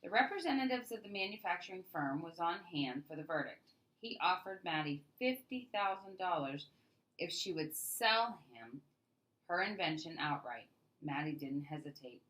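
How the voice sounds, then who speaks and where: Bright, low-pitched woman reading a storybook. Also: expressive, normal speed, rough recording. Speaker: storybook read-aloud narrator. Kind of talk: expressive scripted children's story narration.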